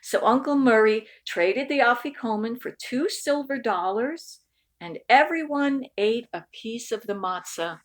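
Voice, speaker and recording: A woman reading a picture book aloud expressively, with deliberate, dramatic phrasing that stretches key words. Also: a little high. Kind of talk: expressive storybook read-aloud.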